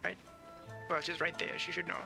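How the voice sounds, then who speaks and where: A woman's voice: fairly low pitch, level tone, a little fast, gravelly, over music. A woman game streamer giving casual live commentary, musing aloud in an offhand way.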